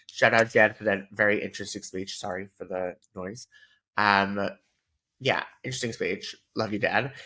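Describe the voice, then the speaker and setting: A man's voice: lowish voice, fairly even intonation, normal speed, bright, forceful tone. A man in his thirties co-hosting a podcast, rambling casually with lots of filler words. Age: 30s. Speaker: podcast co-host. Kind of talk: casual chat.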